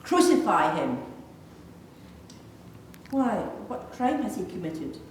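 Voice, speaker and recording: A woman's voice: mid-range voice, big pitch swings, takes it slowly. A woman reading character parts in a scripted, dramatised Bible reading in a large church. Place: large reverberant church, read aloud. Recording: echoey church hall, distant, faint noise.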